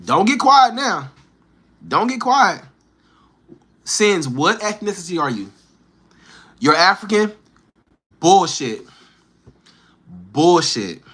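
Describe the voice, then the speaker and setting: A man's voice: high-pitched, big pitch swings, slow, long pauses, fairly bright tone. A man livestreaming, bantering with and teasing his chat in slangy street talk, firing challenging questions.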